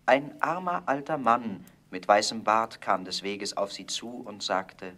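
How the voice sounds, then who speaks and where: A man narrating a scripted fairy tale as a storyteller, with measured, expressive storybook phrasing. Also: on the low side.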